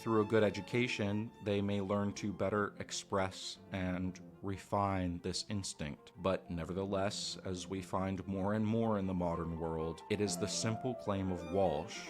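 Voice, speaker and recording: Man, very low voice, measured scripted narration, lecturing, music bed behind. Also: fairly even intonation. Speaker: commentary narrator. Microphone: voice-over.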